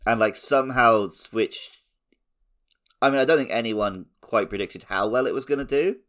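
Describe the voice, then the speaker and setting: A man's voice: medium pitch, normal speed, fairly bright tone. A man chatting casually as a podcast co-host. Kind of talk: casual chat.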